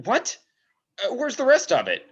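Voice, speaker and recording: An actor playing a hot-tempered older investigator, aggrieved and grumbling, in a screenplay table read. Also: male voice, extremely high pitch, wildly swooping pitch, normal speed, breathy edge, dull audio, full character voice. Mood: incredulous.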